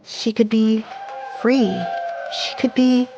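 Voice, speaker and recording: A woman's voice: a little high, steady tone, slow pace, highs cut off, music in the background. A woman giving scripted, dramatic story narration as the narrator of an audio drama.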